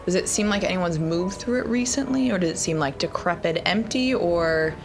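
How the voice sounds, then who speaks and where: A woman taking part in a tabletop game, working out her moves slowly and aloud. Her voice sits a little low, with clear music playing behind it. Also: animated. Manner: hesitant, thinking aloud with fillers. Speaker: player. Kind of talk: casual tabletop play. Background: music score.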